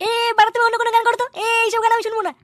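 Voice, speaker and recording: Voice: very high-pitched, monotone, racing, fairly bright tone, a little hiss or hum. A performer voicing a brash, foul-mouthed male street gangster in an adult comedy cartoon, cursing and bullying. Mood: exasperated.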